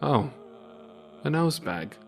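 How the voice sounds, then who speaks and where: A man's voice: a little high, big pitch swings, slow, creaky, exaggerated voice. A man voicing a stubborn, sinful soldier in a storybook folk tale, pleading and bargaining. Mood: offhand.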